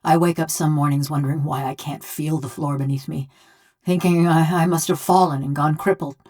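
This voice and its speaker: A metal-bodied woman from a fantasy video game, pitched low for a female voice. She talks in a folksy, countrified way with sardonic wit. Underneath sits a hard, vengeful temper. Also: steady tone, fairly quick, a little mellow. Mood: somber, reflective. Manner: folksy rustic drawl, sardonic. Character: vengeful, wry bronze construct housing a murdered village woman's soul. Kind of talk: video game character dialogue.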